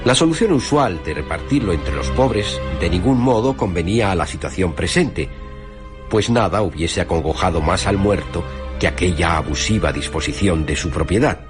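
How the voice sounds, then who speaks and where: A man narrating a literary radio drama as a storyteller, with wry, formal and deliberate phrasing. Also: sits low, animated. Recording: music playing behind the narration.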